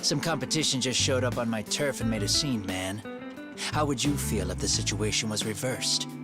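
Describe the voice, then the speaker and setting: A man's voice: medium pitch, normal speed, close to an everyday voice. A man playing a cocky, streetwise handyman and tough fixer in a dubbed video game cutscene, with a taunting, slangy, swaggering delivery. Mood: swaggering, demanding. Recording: loud music over the voice.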